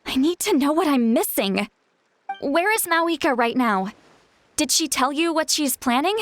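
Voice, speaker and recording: High-voiced earnest young seer. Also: female voice, animated, normal speed, slight background noise. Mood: grave. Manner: urgent, explaining, hesitant asides. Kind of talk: English video game voice acting. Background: faint game music.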